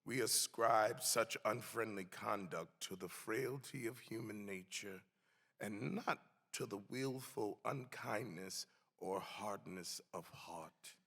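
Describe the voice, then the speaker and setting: A man giving a solemn, emphatic dramatic reading of a historical text in a deliberate, theatrical way. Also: on the low side, gravelly, a bit bright. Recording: echoey hall with long reverb.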